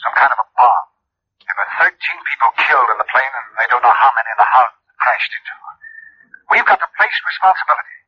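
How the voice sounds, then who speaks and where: A man acting a shaken, earnest insurance company official in a 1950s radio drama, husky, urgent and grave. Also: mid-range voice, expressive, fast, lightly acted. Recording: muffled vintage radio recording.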